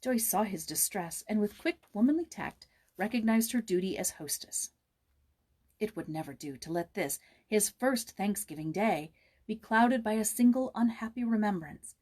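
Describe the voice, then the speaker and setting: Expressive woman reading a children's story. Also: medium pitch, moderate pace, husky. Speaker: audiobook narrator.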